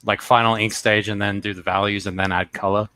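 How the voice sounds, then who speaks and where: A man's voice: lowish voice, flat delivery, brisk, pushed and bright, no room sound. A man chatting casually and crudely about his comic pages, laid-back and joking. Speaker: comic artist co-host. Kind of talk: casual chat.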